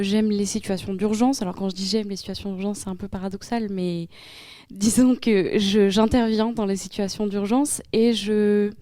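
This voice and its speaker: A woman's voice: mid-range voice, warm tone, clear, resonant tone, dry recording, clean studio sound. A young French woman speaking in a classroom debate, hesitant with many fillers. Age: young adult. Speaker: student in a debate.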